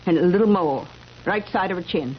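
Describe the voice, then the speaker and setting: A woman's voice: on the low side, brisk, close to an everyday voice. Woman playing emphatic older witness, muffled radio sound. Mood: insistent. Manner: emphatic, repeating words. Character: certain, observant older female witness, easily astonished. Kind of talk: 1970s radio drama acting. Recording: dull, noisy vintage radio recording.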